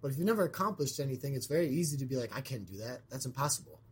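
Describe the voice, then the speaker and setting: A man's voice: medium pitch, big pitch swings, rapid-fire, husky. Scratchy-voiced male podcast host. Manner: rambling, lots of fillers and restarts. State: hoarse after being sick. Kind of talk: casual chat.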